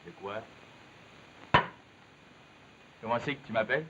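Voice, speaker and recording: A man's voice: medium pitch, racing, lightly acted. A male actor playing a brawny, bold, sociable backwoods log driver in a 1950s television drama, with a Quebec French accent and colloquial, challenging rural speech. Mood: affronted, defiant. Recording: muffled, noisy old television soundtrack.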